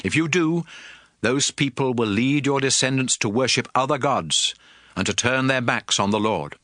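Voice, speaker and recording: A man's voice: mid-range voice, normal speed, fairly bright tone, no room sound, studio quality, lightly acted. An actor playing a stern, fervent prophet who addresses his people in a solemn, emphatic, declamatory dramatized scripture reading. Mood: stern, commanding. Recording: music under the voice.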